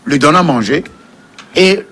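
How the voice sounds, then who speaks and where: A man's voice: fairly high pitch, lively intonation, unhurried, fairly bright tone, a little hiss or hum. A man debating politics as a panel commentator, emphatic and repeating words to drive his point home.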